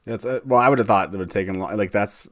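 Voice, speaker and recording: A man's voice: on the low side, quick pace. A man hosting a fan show in casual live chat, informal and self-deprecating as he talks. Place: online group video call, live stream.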